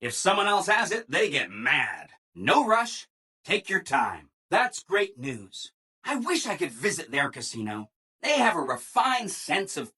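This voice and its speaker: Eager-to-please game robot, chipper, gushing, bright forceful tone, swooping pitch. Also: male voice, huge pitch swings, a little slow, breathy edge, heavily acted. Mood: chirpy, eager. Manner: chipper, exaggeratedly agreeable, gushing. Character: relentlessly cheerful, eager-to-please male robot assistant. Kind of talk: video game character dialogue.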